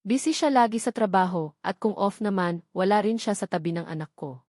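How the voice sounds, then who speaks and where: A woman's voice: mid-range voice, fairly quick, a little mellow, no echo, clean studio sound, lightly acted. A woman with a Filipino accent voicing an anguished, stubborn young mother in a novel reading. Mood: anguished, worried. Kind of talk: dramatized novel dialogue.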